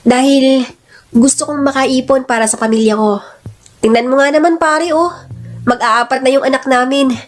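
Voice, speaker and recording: A woman's voice: high-pitched, steady tone, moderate pace, long pauses, soft background music, close to an everyday voice. A woman with a Filipino accent voicing a resolute, burdened husband and father in a radio-style drama. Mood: resigned, determined.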